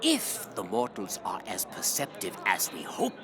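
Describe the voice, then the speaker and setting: A woman's voice: rumbling low, huge pitch swings, a little slow, over music, exaggerated voice. A woman playing a grave, worried, formal ghost in an audio drama. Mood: grave concern. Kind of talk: audio drama character acting.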